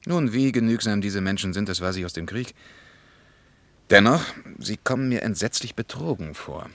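A troubled, reflective male traveller in a radio play, with a fairly low voice. He speaks in measured, literary phrases, recorded dry and close as if reading from a diary. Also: lively intonation, fairly bright tone, no echo. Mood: pensive, uneasy.

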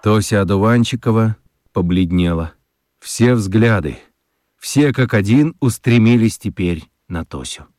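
A man narrating a children's radio play as an expressive storyteller, with deliberate dramatic pauses. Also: fairly low pitch, no room sound, clean studio sound. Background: prominent music.

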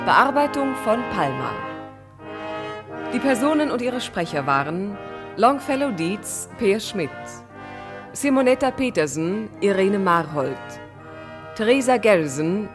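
Radio announcer: woman, formal and measured, unhurried delivery of credits over faint brass music. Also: mid-range voice. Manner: measured, enunciated. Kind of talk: formal scripted cast credits.

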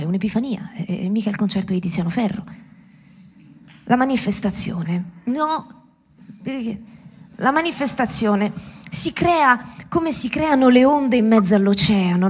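A woman's voice: fairly high pitch, darkish tone, breathy edge. A woman delivering an impassioned satirical monologue at a live event, with rhetorical questions, emphatic repetition and sardonic swearing. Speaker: speaker at a benefit event for Gaza. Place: live public event, speaking to an audience.

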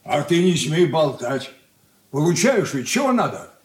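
An actor playing a gruff, domineering older merchant father in a radio drama, blunt and scolding. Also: fairly high pitch, big pitch swings, measured pace. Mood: sarcastic, irritated. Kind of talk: Soviet radio drama stage acting. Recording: roomy echoing studio radio-play recording.

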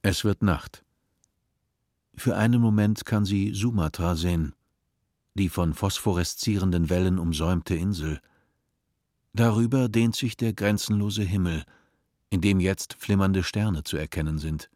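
A man narrating a radio play in a calm, measured storytelling manner. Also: deep voice, no echo, studio quality. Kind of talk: scripted narration.

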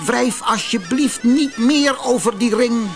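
A man as a brusque, high-pitched sultan's servant. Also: slow, music in the background, heavily acted. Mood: alarmed. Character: demanding, threatening male servant of a sultan.

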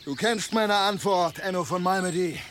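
An actor in a German radio play, playing a wry, weary medieval warrior who has become a farmer. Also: male voice, high voice, normal speed, close to an everyday voice. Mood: wry, unruffled. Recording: noisy outdoor ambience, birdsong.

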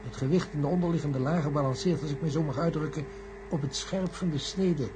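A man's voice: on the high side, a little fast, close to an everyday voice. A man playing a grave, learned professor in a science-fiction radio play, formal and pedantic as he explains. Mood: grave, explanatory. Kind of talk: radio drama acting. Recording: noisy old radio recording with hum.

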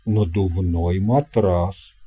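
A man narrating a scripted children's tale as an audiobook reader, in deliberate, measured phrases. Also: low voice, breathy edge, highs cut off.

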